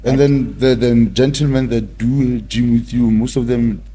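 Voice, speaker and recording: A man's voice: medium pitch, fairly even intonation, unhurried, a crowd in the background. A man with a South African accent hosting a casual interview, asking questions in hesitant phrases and mixing in a local language. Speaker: talk show host.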